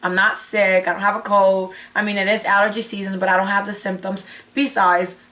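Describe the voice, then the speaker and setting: A young woman hosts a casual unboxing vlog in a hoarse, raspy voice at a medium pitch. She thinks aloud and breaks off her own sentences in a chatty way. Her voice sounds like it is giving out. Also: flat delivery, brisk, sharp tone. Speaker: beauty vlogger host. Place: small indoor room with curtain backdrop.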